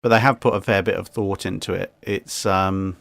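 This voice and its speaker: A man with a fairly low voice in a dry, close recording. He talks casually but analytically, weighing points in a measured way as he gives his opinion. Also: fairly even intonation, creaky, fairly bright tone, no room sound. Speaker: guest commentator. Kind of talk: casual discussion.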